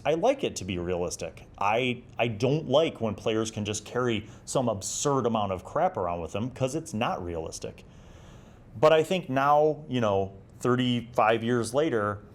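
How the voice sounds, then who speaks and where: A middle-aged man giving casual, conversational commentary as he reads and reviews an article, with a wry, nerdy manner. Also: on the low side. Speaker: host of a retro tabletop gaming review series.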